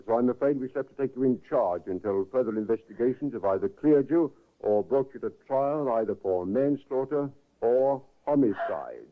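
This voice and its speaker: An actor playing a courteous, probing police inspector in a 1950s radio drama. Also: male voice, medium pitch, animated, normal speed, hardly any pauses, natural voice. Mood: probing calm. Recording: tinny old radio broadcast.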